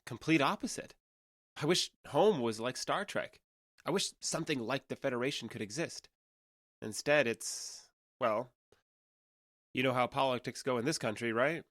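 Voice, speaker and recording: A man's voice: mid-range voice, moderate pace, fairly bright tone, no room sound, clean studio sound, close to an everyday voice. A man playing a young alien trader who passes as human in an audio drama, talking in a conversational, earnest and slightly hesitant way. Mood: wry, frustrated. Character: awkward, candid young male alien trader passing as human.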